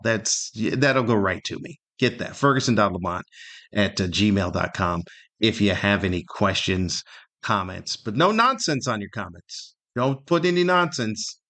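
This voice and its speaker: A man's voice: fairly low pitch, a bit bright. A middle-aged American man hosting a solo podcast, talking in a casual, rambling, conversational way and sometimes acting out imagined remarks. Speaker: podcast host and comedian.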